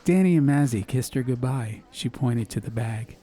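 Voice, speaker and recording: A man's voice: mid-range voice, moderate pace, mellow. A man narrating a scripted story as an audiobook, with ambient noise behind his voice. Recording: background ambience noise under voice.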